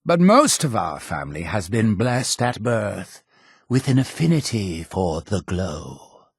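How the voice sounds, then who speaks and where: An actor voicing a stern, suspicious cult leader in a video game, fatherly yet menacing, with a husky voice and solemn, measured, preacher-like formality. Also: on the low side, sing-song, no echo, clean studio sound, full character voice. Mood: calm, pious. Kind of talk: scripted video game dialogue.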